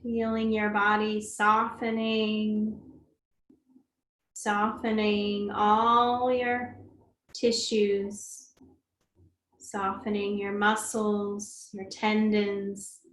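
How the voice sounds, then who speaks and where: A woman leading a guided meditation as a teacher, speaking gently and soothingly, unhurried, with long pauses. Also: mid-range voice, monotone. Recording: roomy echo, faint background noise.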